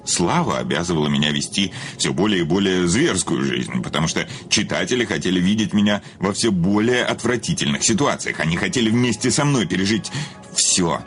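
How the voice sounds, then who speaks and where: An actor in a radio play playing a vain, cynical famous writer and confessed killer, with a theatrical, sardonic manner. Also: male voice, sits low, a bit bright.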